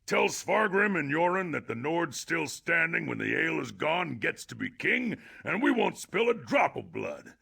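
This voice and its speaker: A man playing a boozy, irreverent Nord in a video game, talking in a wry, jovial, garrulous way with a gravelly, husky voice. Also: on the high side, sing-song, moderate pace, few pauses, sharp tone, full character voice. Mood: boastful, jovial. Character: boozy, irreverent Nord man who solves everything with ale. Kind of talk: video game character dialogue. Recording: music behind the voice.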